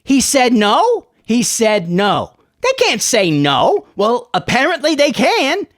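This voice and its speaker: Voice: mid-range voice, huge pitch swings, slow, no room sound, clean studio sound. The narrator voicing a dim, exasperated henchman who bickers in slangy, repetitive phrases. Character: dim, exasperated henchman squabbling with his partner.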